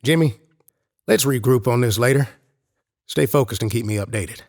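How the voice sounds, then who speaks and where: A man's voice: mid-range voice, moderate pace, darkish tone, close to an everyday voice. A man playing a brusque, impatient, weary special agent in an audio drama, curt and skeptical. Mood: curt, irritated.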